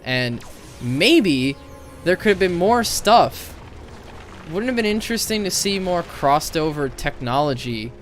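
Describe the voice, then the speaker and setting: Animated man giving opinionated game commentary. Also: on the high side, a little slow, soft background music. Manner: opinionated, swears casually. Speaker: video commentator. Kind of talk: casual critical game commentary.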